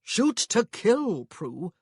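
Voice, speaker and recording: A woman voicing a gruff, menacing, protective husband in a period romance audiobook, blunt and threatening. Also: medium pitch, very animated, extremely slow, darkish tone, no echo, clean studio sound, voice pushed up, exaggerated voice.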